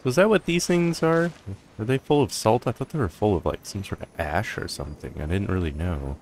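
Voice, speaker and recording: A man's voice: fairly low pitch, fairly quick, a little mellow, a little hiss or hum. A man giving casual let's-play commentary as a streamer, offhand and rambling to himself. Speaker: gameplay streamer.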